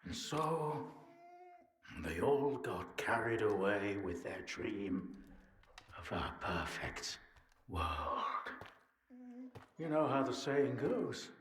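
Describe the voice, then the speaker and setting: Slow, theatrical older scientist, echoing. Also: male voice, lowish voice, very animated, fairly bright tone, exaggerated voice. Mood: sardonic, bitter. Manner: deliberate, theatrical, sardonic. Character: regretful male scientist, bitter, theatrical and brooding. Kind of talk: dramatic video game monologue. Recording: roomy echo with noise behind.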